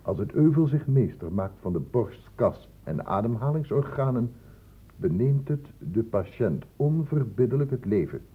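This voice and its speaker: An actor playing a stern, insistent doctor in a radio play, blunt and urgent, in a lecturing way. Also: male voice, medium pitch, lively intonation, moderate pace, slightly rough. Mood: grave, reciting. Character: stern, insistent male doctor questioning young people. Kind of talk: Dutch radio play acting. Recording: muffled old radio play recording.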